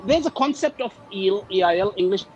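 A man whose first language is Urdu, an ESL teacher speaking over a video call in a halting, hesitant way. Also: sits high, animated, faint music behind, rough recording. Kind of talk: panel discussion. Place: teaching abroad.

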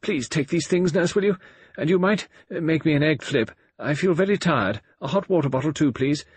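A man voicing a tired, peevish, martyred married woman in an audiobook, with a sharp, plaintive delivery. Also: fairly high pitch, normal speed, breathy edge, no room sound, voice pushed up. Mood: weary, plaintive.

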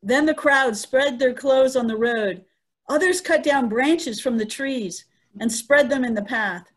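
A woman's voice: sits high, measured pace, low-quality recording. A woman playing an earnest female eyewitness to the crucifixion in a dramatic reading. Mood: indignant, baffled. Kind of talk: dramatic Easter scripture reading. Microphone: video call.

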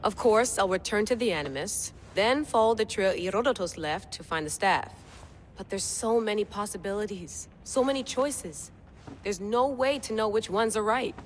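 An actress playing a confident, wry young tech-savvy field agent in a video game. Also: female voice, mid-range voice, animated, moderate pace, a little hiss or hum, lightly acted. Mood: wry, earnest. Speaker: game character, lead. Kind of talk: video game cutscene acting.

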